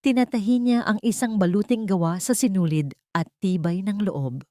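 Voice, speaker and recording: A woman's voice: medium pitch, moderate pace, warm tone, dry recording. A woman with a Filipino accent reading a scripted, dramatic story as narrator.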